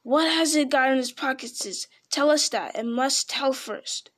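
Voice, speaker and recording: A woman's voice: sits high, unhurried, fairly bright tone. A woman voicing a wretched male cave creature who hisses, shrieks and wails over a lost treasure. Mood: hissing. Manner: hissing, shrieking, whining.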